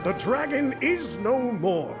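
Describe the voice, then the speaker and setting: An actor playing a doting father in a video game, playfully theatrical and gently coaxing. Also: extremely high pitch, big pitch swings, takes it slowly, heavily acted. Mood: grandly theatrical. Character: doting, playful father play-acting a heroic fairytale. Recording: loud music behind the voice.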